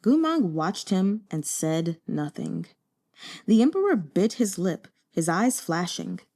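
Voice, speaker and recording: A woman narrating a novel as an audiobook, in a measured, dramatic storytelling manner. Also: lowish voice, big pitch swings, warm tone. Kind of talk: expressive scripted narration of a novel.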